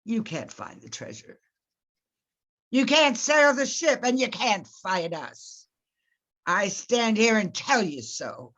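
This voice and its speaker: A woman's voice: medium pitch, very animated, slow pace, a bit bright, exaggerated voice. A woman playing a defiant male sea captain in a radio play, commanding and declamatory.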